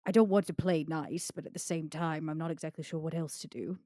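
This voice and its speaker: Woman playing a low, mellow, scheming vampire schemer. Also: steady tone, brisk, dry recording, clean studio sound, lightly acted. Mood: sly, calculating. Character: scheming, haughty female vampire plotting a coup.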